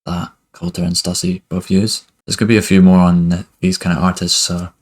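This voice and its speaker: A young man giving a relaxed music production tutorial at his desk, talking loosely with lots of fillers. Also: low voice, moderate pace. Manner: relaxed, many fillers like "kind of" and "basically". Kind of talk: casual screen-recorded tutorial.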